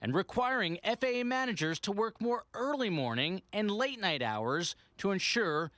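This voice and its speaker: Male American TV news reporter, high voice, lively melodic delivery, investigative script, slight background noise. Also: moderate pace, no echo.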